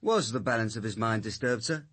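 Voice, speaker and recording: An actor playing a persistent police sergeant, pointed and challenging yet deferential, with a British accent in a radio drama. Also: male voice, on the low side, animated, brisk, fairly bright tone, husky. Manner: challenging questions, outwardly deferential.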